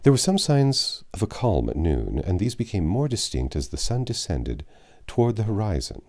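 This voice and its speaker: A man reading a classic adventure novel aloud as a storybook narrator, with a measured, dramatic storytelling delivery. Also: fairly low pitch, sing-song, darkish tone. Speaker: audiobook narrator.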